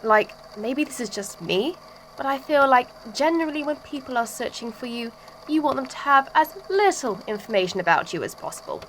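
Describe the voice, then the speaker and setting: A woman's voice: high voice, expressive, fairly quick, fairly bright tone, slight background noise, rough recording. Woman as a dry, skeptical sidekick friend. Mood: skeptical, exasperated. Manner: dry, blunt, casual. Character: sardonic, skeptical, exasperated female friend. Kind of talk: amateur audio drama dialogue.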